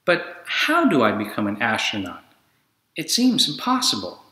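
Earnest, pleading young girl for a storybook, bright and animated man reader. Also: medium pitch, normal speed. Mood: doubtful. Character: young girl dreaming of space, earnest, easily discouraged. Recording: echoey room.

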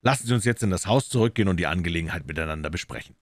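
A man voicing a coolly assured, incisive gentleman detective in an audiobook, emphatic and courteous. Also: sits low, animated, very fast, no echo, studio quality. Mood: cool, probing.

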